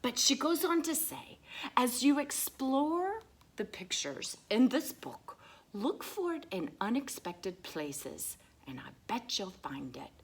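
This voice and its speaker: A woman voicing a sweet, excitable lady who reads to children, drawing out words with exaggerated wonder. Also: a little high, slow, slightly rough, voice pushed up, heavily acted. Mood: tender, emphatic. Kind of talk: children's story reading.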